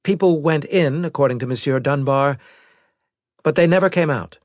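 Male narrator as female military officer, brisk, formal, precise, raised pitch, dry sound, sci-fi audiobook. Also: no echo, natural voice.

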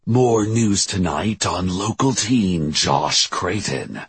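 A man's voice: sits low, expressive, slow pace, breathy edge, no echo, voice pushed up, full character voice. A man voicing a solemn male local TV news anchor with a formal broadcast cadence in an audiobook. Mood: solemn, self-important.

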